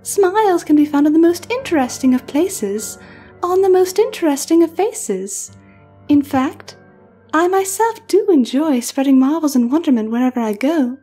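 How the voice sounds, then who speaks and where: Sweetly predatory unicorn temptress played by a woman, high singsong delivery, mellow and honeyed, for a horror audio story over soft music. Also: expressive, moderate pace. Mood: sweetly coaxing. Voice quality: melodious. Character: mysterious unicorn mare, sweetly sinister, teasing temptress. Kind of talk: horror fan fiction reading.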